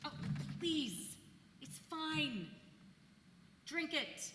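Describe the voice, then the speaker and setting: A woman's voice: high voice, very animated, extremely slow, heavily acted. A woman in a staged play reading, playing a bawdy, weary seventeenth-century village housewife with a mocking, emphatic way of talking. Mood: indignant, mocking. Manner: mocking, irreverent, emphatic storytelling. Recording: echoey hall, distant, noisy room tone.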